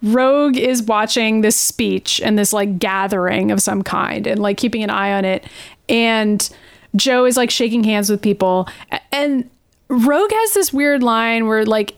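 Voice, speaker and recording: A woman's voice: mid-range voice, clean tone, no room sound. A woman podcast host giving a casual, sweary recap in an informal, exasperated and opinionated way.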